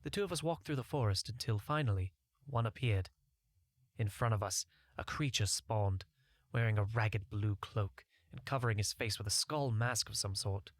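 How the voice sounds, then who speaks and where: Low-voiced man narrating a fantasy story. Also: normal speed, no echo, clean studio sound. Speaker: audiobook narrator. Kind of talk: first-person scripted story narration.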